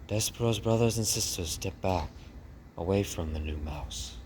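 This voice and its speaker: Male narrator for children's fiction: very low, unhurried, deliberate, clearly noisy recording. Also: darkish tone. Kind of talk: dramatic storybook narration.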